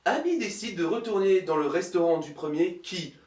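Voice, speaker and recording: A man's voice: high voice, expressive, moderate pace, darkish tone. A man narrating a sarcastic parody film review in a mocking, theatrical manner. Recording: roomy, distant mic, faint noise.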